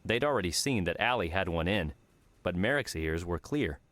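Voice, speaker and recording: A man's voice: sits low, lively intonation, normal speed, a bit bright, no room sound. A man narrating an audiobook, reading scripted fiction.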